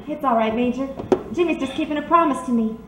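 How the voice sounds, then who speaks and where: A woman's voice: sits high, fast talker, natural voice. A woman playing a composed, resigned character in an old-time radio drama. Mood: calm, resigned. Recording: echoey, distant, noisy.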